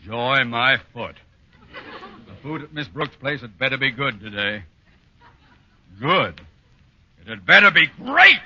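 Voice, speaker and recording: A man's voice: mid-range voice, very animated, measured pace, long pauses, background noise. An actor playing a pompous, hungry, middle-aged family man in an old radio comedy, easily exasperated and sarcastic. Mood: outraged, blustering. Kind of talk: 1950s-style radio situation comedy acting. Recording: dull vintage radio recording.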